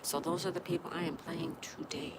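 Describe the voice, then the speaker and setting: A woman's voice: on the low side, fairly even intonation, a little fast. A woman hosting a casual livestream, with a stuffed-up nose, greeting viewers by name and reading their chat aloud. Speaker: livestream host. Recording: loud background noise.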